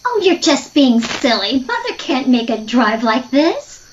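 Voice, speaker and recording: A woman's voice: high-pitched, very animated, unhurried. A woman playing an earnest, easily spooked sister who chides and scolds in an audio drama. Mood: chiding. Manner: chiding. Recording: dull lo-fi audio, faint noise.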